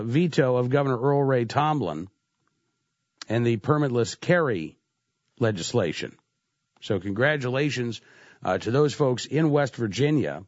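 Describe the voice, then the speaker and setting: A man's voice: medium pitch, a little mellow, dry recording. A man hosting talk radio while congested from a cold, slightly nasal. He talks conversationally with lots of "uh" fillers. The sound is dry and a little muffled. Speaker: radio talk show host. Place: radio studio. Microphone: studio microphone.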